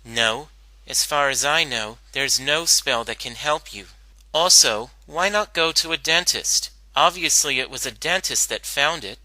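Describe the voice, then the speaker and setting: A man's voice: medium pitch, unhurried, sharp tone, dry recording, rough recording. A man playing a studious young female cartoon pony, curt, exasperated and matter-of-fact.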